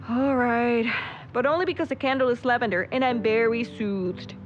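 A woman's voice: on the high side, moderate pace, lightly acted. A woman acting in an audio drama as a snarky, sleepy young photographer, sardonic and given to flustered hedging. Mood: sleepy, wry. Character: snarky, sleepy young photographer called Daniel, grudgingly friendly. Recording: dull audio under loud vehicle noise.

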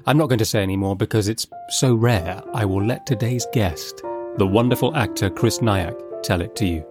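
A man's voice: fairly low pitch, moderate pace. A man hosting a story podcast, giving a scripted introduction to a reading. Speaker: podcast host. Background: soft guitar music.

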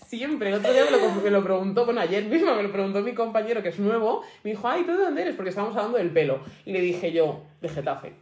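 Animated laughing young woman, echoey room. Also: mid-range voice, fast, few pauses. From Spain. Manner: animated storyteller, laughs a lot, colloquial. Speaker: podcast co-host. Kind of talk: casual chat. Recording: echoey room with faint noise.

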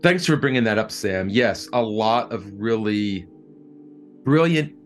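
A man answering interview questions as a producer, speaking thoughtfully and conversationally, often halting and repeating words. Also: fairly low pitch, animated, faint music behind.

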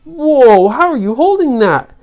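A woman voicing an excitable, earnest young boy in a children's story, speaking in exclamations. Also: sits high, measured pace, pitched above the performer's own voice. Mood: astonished.

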